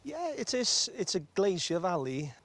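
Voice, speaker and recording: Brief: male orchard owner, slightly higher pitch, modest and plain-spoken. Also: very animated, normal speed, a bit bright, a little hiss or hum. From North Wales. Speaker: cider maker. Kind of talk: casual on-location interview. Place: outdoors in an orchard.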